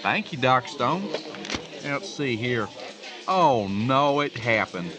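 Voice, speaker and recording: An actor playing a jealous, sarcastic small-town diner regular in a comic audio drama. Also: male voice, mid-range voice, expressive, slow pace, over music. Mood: folksy. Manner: peevish, sarcastic. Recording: busy diner crowd behind.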